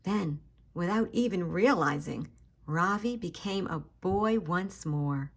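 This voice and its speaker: A woman's voice: lowish voice, lively intonation, slow pace. A woman hosting a children's story time, reading a picture book expressively, addressing young listeners directly and stressing key words for drama.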